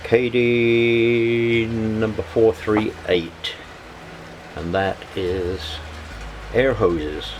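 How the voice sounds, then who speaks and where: A man giving a casual, unscripted hobby vlog narration in a halting way, often repeating words. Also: on the low side, steady tone, slight background noise, low-quality recording.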